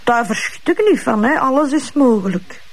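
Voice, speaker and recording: Husky, bitter, evasive Flemish widow. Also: female voice, high voice, expressive, moderate pace, no echo, heavily acted. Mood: guarded, disdainful. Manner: hesitant, evasive, repeating short answers. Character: cool, evasive, bitter widow of a murdered man. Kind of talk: police interrogation scene in a radio drama.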